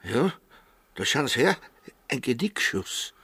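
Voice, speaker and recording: An actor playing an excitable village man in a radio drama, with an agitated, folksy, husky delivery. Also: medium pitch, takes it slowly, no room sound, exaggerated voice. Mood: alarmed.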